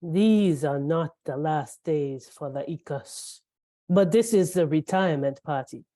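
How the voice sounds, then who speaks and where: A low, dark female voice for a menacing zealot, acted by a woman at a script read. The words come slowly and deliberately, in the manner of a dramatic monologue. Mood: ominous, proud. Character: menacing enemy faction zealot, loyal to a deathly machine leader. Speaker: actor in a live script reading.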